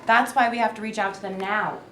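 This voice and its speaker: A woman's voice: mid-range voice, steady tone, fast, a bit bright, natural voice. A woman reading an earnest, persuasive male labor activist in a staged play. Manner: insistent, persuasive. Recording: echoey room with faint noise.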